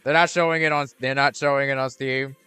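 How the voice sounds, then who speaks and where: A man's voice: mid-range voice, steady tone, fairly quick, sharp tone, clear, resonant tone. A young man streaming a video game, chatting casually and rambling with restarts and fillers. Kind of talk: casual gameplay commentary.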